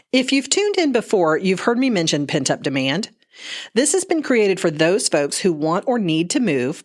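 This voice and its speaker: A woman realtor delivering a scripted market report as a news segment. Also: on the low side.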